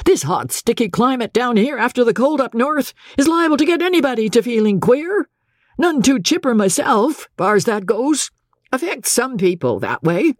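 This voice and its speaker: Storybook captain, played by a woman: older man, brisk, folksy, mock-fierce, voice pushed high. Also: very animated, dry recording, studio quality. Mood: stoutly defensive. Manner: folksy, ungrammatical, blustering but placating.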